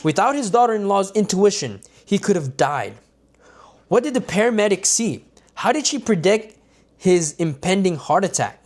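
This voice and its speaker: A man's voice: high voice, lively intonation, normal speed. Man reading a book aloud on stream. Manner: unpolished reading with stumbles and restarts, casual asides to viewers. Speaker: livestreamer.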